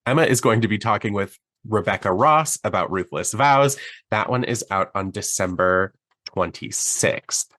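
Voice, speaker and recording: A man's voice: on the low side, expressive, normal speed, sharp tone, dry recording. Chill thirtysomething guy joking around about books, super dry close sound. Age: 30s. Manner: easygoing, chatty, joking. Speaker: podcast co-host. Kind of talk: casual book chat.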